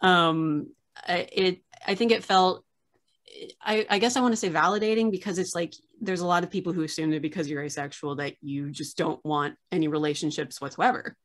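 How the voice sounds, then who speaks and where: A woman's voice: fairly low pitch, fairly even intonation, brisk. Chatty woman archivist on a video call. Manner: chatty, many fillers and restarts. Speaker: host, archivist. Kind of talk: casual video-call interview. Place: small room with framed pictures on wall.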